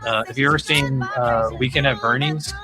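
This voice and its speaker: A man's voice: mid-range voice, fairly even intonation, normal speed. A man hosting a casual panel chat about music, talking in a rambling, tentative way. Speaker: podcast host.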